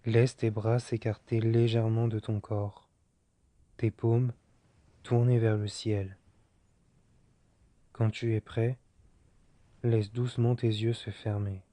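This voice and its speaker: A man guiding a yoga nidra relaxation in a soft, soothing, unhurried manner, leaving long pauses. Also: fairly low pitch, flat delivery, dry recording. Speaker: meditation guide.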